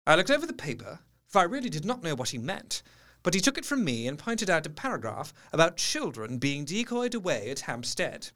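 Educated doctor, high-pitched, very animated. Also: male voice, a little fast. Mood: reflective. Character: educated, skeptical male doctor, precise and incredulous. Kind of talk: dramatised diary narration, audio drama.

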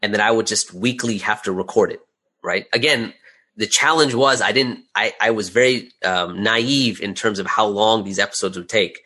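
A man with a fairly low voice answers questions in an interview. He talks casually and candidly, in a conversational, anecdotal style. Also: normal speed, sharp tone, breathy edge. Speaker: interview guest, audio drama creator.

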